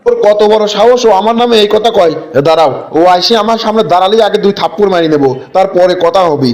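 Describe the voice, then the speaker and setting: Man as a high-pitched hot-tempered villager. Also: quick pace, darkish tone, close to an everyday voice. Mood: indignant, threatening. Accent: rural Bangladeshi Bengali. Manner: blustering, indignant. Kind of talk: comic cartoon dialogue.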